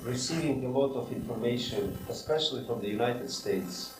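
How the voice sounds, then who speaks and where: A man's voice: mid-range voice, animated. Ukrainian man, distant and roomy. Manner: careful, slightly halting English with pauses. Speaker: seminary president, guest. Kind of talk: interview answers. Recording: roomy, distant, noisy hall audio.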